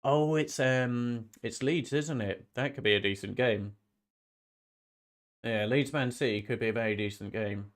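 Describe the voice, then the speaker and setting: A man's voice: medium pitch, a little slow. A man with a slight cold chatting casually as a live-stream host, rambling and often repeating his phrases. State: slight head cold, sniffly. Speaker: streamer hosting a football watch-along.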